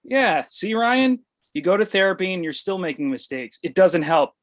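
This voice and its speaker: A man's voice: high voice, sing-song, moderate pace. Man acting a blunt, dismissive middle-aged dad. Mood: smugly dismissive. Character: blunt, stubborn, self-satisfied middle-aged father. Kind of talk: comedy script table read.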